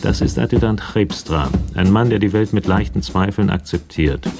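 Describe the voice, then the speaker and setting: A man narrating a crime radio play in a measured, matter-of-fact way, with a gravelly voice. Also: on the low side, loud music behind the voice. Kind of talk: scripted radio drama narration.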